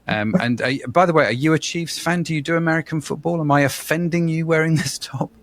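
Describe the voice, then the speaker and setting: A man's voice: on the high side, fast talker. A man in his fifties hosting a podcast, chatty and self-deprecating, wandering off on tangents. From England. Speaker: podcast host. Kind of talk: casual interview chat.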